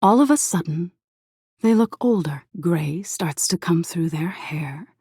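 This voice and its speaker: A woman playing an eerie, softly menacing embodiment of existential dread in a video game, narrating slowly in a hushed, breathy voice that slips into whispers. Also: lowish voice, expressive, no room sound, studio quality, heavily acted. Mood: grim, haunting. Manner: slow hushed storytelling, lapsing into whispers.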